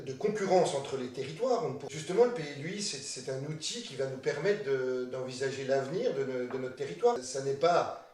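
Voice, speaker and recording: A man's voice: medium pitch, lively intonation, brisk, darkish tone. A man giving spontaneous interview remarks in a hesitant way, often repeating words and restarting phrases. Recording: very echoey room, slightly distant mic.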